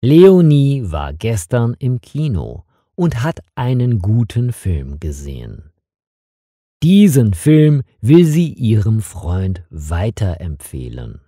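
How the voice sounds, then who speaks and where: A narrator reads a learners' story at a very slow pace. The voice is dark and husky, with a lively melody. It is recorded close and dry. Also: mid-range voice, no echo. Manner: slow, deliberate reading.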